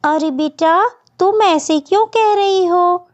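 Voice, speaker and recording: Woman doing a sweet earnest stepmom bird, cartoon, high voice, soothing, dull audio. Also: takes it slowly. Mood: puzzled, gentle. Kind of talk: children's cartoon story acting.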